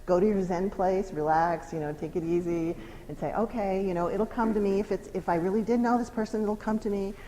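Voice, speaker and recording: A middle-aged woman giving a psychology lecture in a lively, emphatic, conversational teaching manner. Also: medium pitch, a little fast, few pauses. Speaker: psychology professor giving a talk. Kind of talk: lecture presentation. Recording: long hall reverb, faint noise.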